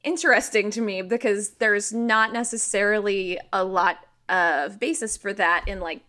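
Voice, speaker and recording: A woman speaking as a podcast guest, explaining things casually and thinking aloud in a halting way. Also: mid-range voice, fairly even intonation, pushed and bright.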